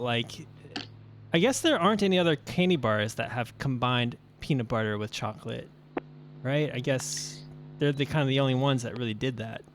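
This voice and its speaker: A man's voice: fairly high pitch, slight background noise. A man from Brazil whose first language was Portuguese, co-hosting a racing live stream in casual chat. He talks loosely with many "like" fillers and reads viewer comments aloud. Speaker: race stream co-host.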